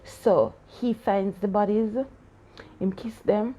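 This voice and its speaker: A woman's voice: mid-range voice, steady tone, takes it slowly, mellow. A woman talking a child through a story, asking questions, laughing often and playfully challenging the child's answers. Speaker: aunt discussing a story with a child. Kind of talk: casual discussion after a story reading.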